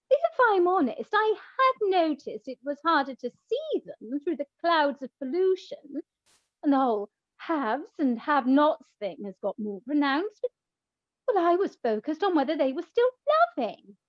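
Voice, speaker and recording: An actress playing a naive, dramatic goddess of love in a comic radio play, speaking with theatrical incredulity, on a slightly dull, roomy recording. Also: female voice, high-pitched, very animated, unhurried. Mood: dismayed, wistful.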